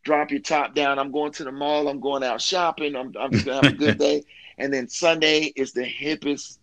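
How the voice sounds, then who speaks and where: An enthusiastic man in an informal interview chat, speaking as a musician about his work. His voice has an ordinary middle pitch and is recorded very dry, with little room sound. His phrasing is loose and friendly slang. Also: fairly even intonation, moderate pace, fairly bright tone, dry recording. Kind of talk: casual chat about career and new album.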